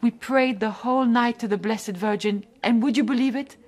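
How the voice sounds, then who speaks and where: High-voiced devoted, chatty nun played by a woman. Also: moderate pace, natural voice. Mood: fond, reminiscing. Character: devoted, chatty French nun, gently self-reproaching. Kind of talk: radio drama acting.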